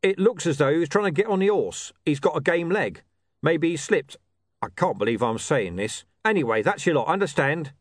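A man's voice: fairly high pitch, lively intonation, moderate pace, dry recording, voice pushed up. A man voicing a curt, blunt, irritable and suspicious police commander in an audiobook story. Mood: gruff, irritable.

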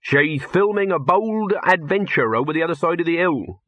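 A man voicing a laconic, practical camera operator with an unhurried grumble, in an audiobook. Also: fairly high pitch, animated, fairly bright tone, no room sound, voice pushed up. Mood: matter-of-fact. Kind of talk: audiobook character voice.

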